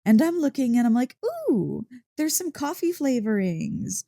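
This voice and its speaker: An excitable dog-girl girlfriend, acted by a woman in an ASMR roleplay, with a soft, mellow voice recorded close and dry. She gushes and teases playfully, taking her time over the words as she talks one-on-one to her partner. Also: high-pitched, measured pace, gravelly, no echo, exaggerated voice. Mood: playfully indignant. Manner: gushing, teasing, playfully indignant.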